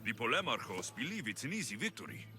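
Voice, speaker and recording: Video game role: male actor as confident young Spartan mercenary, animated delivery, light music behind. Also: mid-range voice, fast, lightly acted. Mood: firm. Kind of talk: scripted video game cutscene acting.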